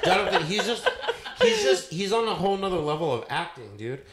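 A middle-aged man with an American accent, a podcast host talking excitedly in a joking and profane way, often cutting in, on a handheld mic. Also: high voice, fairly quick, a loud crowd. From born in India. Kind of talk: casual chat. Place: living room podcast set. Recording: roomy living room sound.

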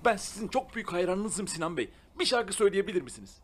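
A man voicing a polite, starstruck police officer in an animated comedy, hesitant in manner. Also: high-pitched, quick pace.

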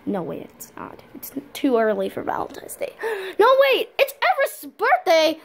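A goofy young boy puppy, high and bouncy, who stammers and rambles in nervous bursts, as a woman puts him on. His voice leaps all over the place in a homemade cartoon play. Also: huge pitch swings, moderate pace, gravelly, a little mellow, husky, full character voice. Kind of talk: fan-made cartoon voice play.

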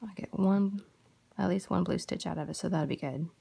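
A woman hosting a crochet tutorial, talking softly and unhurriedly in a gravelly voice, having just woken up. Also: lowish voice. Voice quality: gravelly morning voice. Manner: soft-spoken, unhurried with fillers. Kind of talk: casual tutorial chat while crocheting.

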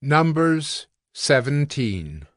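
A man narrating scripture from a script for an audio drama, measured and solemn. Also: on the high side, big pitch swings, dry recording, clean studio sound. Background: music.

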